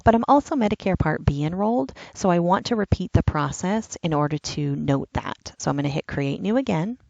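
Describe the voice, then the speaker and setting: A woman's voice: on the low side, lively intonation, fairly quick, creaky, darkish tone, no room sound, muffled recording. A woman giving a patient, step-by-step walkthrough of an online application form. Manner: step-by-step instructional, plain and patient. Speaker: enrollment trainer. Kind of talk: screen-recorded software walkthrough.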